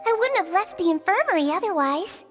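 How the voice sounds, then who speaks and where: A woman's voice: extremely high pitch, expressive, fairly quick, fairly bright tone, big-room sound, soft background music. A woman voicing a small, cute, polite and chirpy girlish helper in a game dub. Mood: polite, caring. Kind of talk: English game dub acting.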